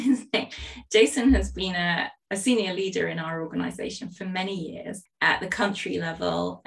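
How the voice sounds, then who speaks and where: A woman's voice: on the low side, creaky, distant mic. A woman co-hosting a podcast, introducing a guest in a friendly way and asking questions. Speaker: podcast co-host, interviewer.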